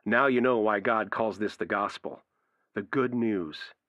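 A man playing an earnest radio preacher in an audio drama, quoting scripture emphatically and deliberately. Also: fairly low pitch, fairly bright tone, no room sound, muffled recording. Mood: earnest, assuring.

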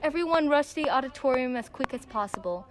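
High young girl narrating a story. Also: animated, a little fast. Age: young teen. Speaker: narrator of a school audio drama. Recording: clicky amateur recording with background noise.

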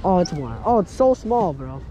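A man giving excited, casual running commentary as he fishes, talking to the fish and to his friends. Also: high voice, moderate pace. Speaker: fishing vlogger. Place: outdoors on a wooden fishing pier by the sea. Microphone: head-mounted action camera. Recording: outdoor noise on an action camera.

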